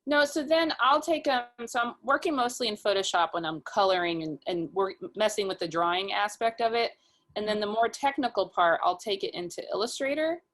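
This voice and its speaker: A woman's voice: medium pitch, brisk, fairly bright tone. A woman speaking as an illustrator guest in a casual interview, explaining her process step by step in informal language.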